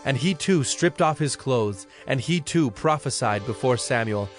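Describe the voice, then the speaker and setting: A man's voice: medium pitch, lively intonation, unhurried. A man narrating scripture from a script as the narrator of a dramatized audio production. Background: music under the voice.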